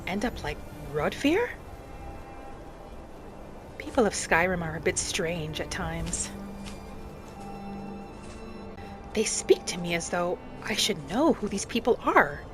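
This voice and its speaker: A woman voicing a polite, curious female wood elf traveler, musing aloud in gentle, slightly formal game roleplay. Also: lowish voice, big pitch swings, a little slow. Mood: puzzled. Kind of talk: in-character game roleplay commentary. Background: faint music.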